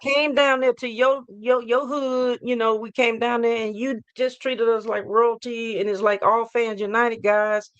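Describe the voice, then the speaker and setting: Woman, hoarse, fairly high-pitched, live fan-show host, upbeat rambling hype, dry close sound. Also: steady tone, unhurried, hardly any pauses, no room sound, dull audio.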